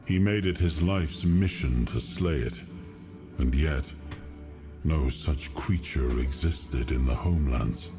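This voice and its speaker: A man voicing a grave lord in a fantasy video game, recounting dark legends in a solemn, measured way. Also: very deep voice, music in the background, exaggerated voice.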